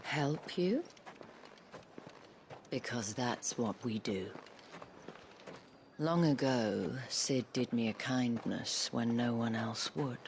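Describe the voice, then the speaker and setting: An actress playing a sly, elegant, teasing madam in a video game, with a sultry, half-whispered, genteel delivery. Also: female voice, extremely low pitch, slow pace, pauses often, a little mellow, a little hiss or hum, heavily acted. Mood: measured, knowing. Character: sly, elegant madam of a pleasure house, teasing and self-assured. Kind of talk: video game cutscene acting. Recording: music behind the voice.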